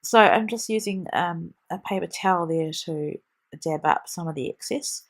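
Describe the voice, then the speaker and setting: A woman's voice: lowish voice, normal speed. A woman with a stuffy nose from a cold, chatting through a scrapbooking tutorial with frequent ums. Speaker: scrapbooking demonstrator. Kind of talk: voice-over craft tutorial.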